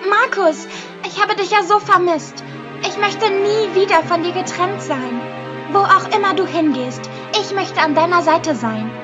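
Woman playing a very high-voiced young princess. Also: measured pace, dull audio. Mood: yearning. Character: young princess heroine, earnest and romantic. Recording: loud music behind the voice.

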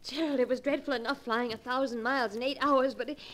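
An actress playing an anxious, pampered wife in 1940s radio melodrama style, fretful and pleading. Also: female voice, high voice, steady tone, fast, lightly acted.

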